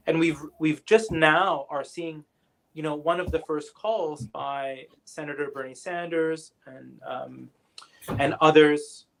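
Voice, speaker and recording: A man with a fairly high voice takes audience questions after a lecture. He talks slowly and with care, stopping often on fillers and starting his sentences over. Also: low-quality recording. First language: English. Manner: thoughtful, hesitant, many fillers and restarts. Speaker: guest lecturer. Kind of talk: online lecture Q&A answer.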